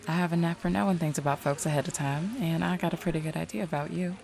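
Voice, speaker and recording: A deep female voice, heavy with vocal fry, an actress playing a mayor who is also a seer. She is confident and shrewd, talking in a direct, folksy, knowing way, as in an audio drama. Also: steady tone, fast, darkish tone. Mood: knowing, teasing. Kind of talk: audio roleplay acting.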